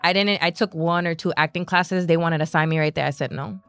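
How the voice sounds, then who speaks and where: A woman's voice: lowish voice, brisk, fairly bright tone, smooth. A woman hosting a live talk show, upbeat and chatty, with faint music behind her. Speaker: host. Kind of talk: casual live show chat.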